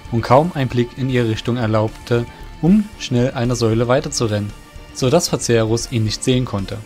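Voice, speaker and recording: A man's voice: mid-range voice, darkish tone. A man narrating a scripted fantasy audio drama in a literary storytelling manner.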